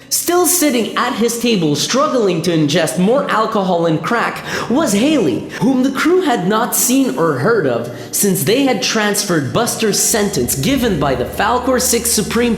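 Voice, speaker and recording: Echoey woman narrator with lively intonation. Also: medium pitch. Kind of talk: scripted comic sci-fi radio narration. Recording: echoey, long reverb, music behind.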